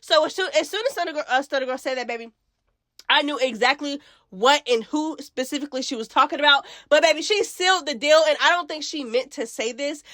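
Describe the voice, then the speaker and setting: Stuffy-nosed gossip host lady, high pitched, chatty and slangy. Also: fairly quick. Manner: chatty, animated, slangy, calls listeners girl and baby. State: stuffy nose from a cold. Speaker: host of a reality TV gossip channel. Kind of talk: casual gossip recap.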